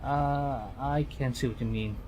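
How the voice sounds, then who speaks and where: Male game streamer, mid-pitched, halting read-aloud of story text, faint noise behind. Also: steady tone, darkish tone, rough recording. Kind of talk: game livestream, reading visual novel lines aloud.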